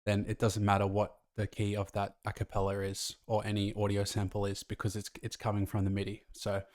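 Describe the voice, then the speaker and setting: A man's voice: on the low side, monotone, fairly quick, darkish tone, no echo, clean studio sound. A man casually explaining music production as a tutor, talking through each step of a tutorial. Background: faint music from the track.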